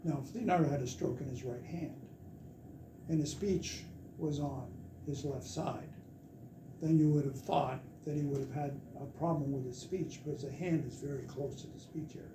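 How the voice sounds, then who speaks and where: Distant man explaining in an echoey room. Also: a little high. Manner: measured, explaining step by step. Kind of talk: explanatory seminar talk. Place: lecture room. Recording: echoey, far from the mic, noisy.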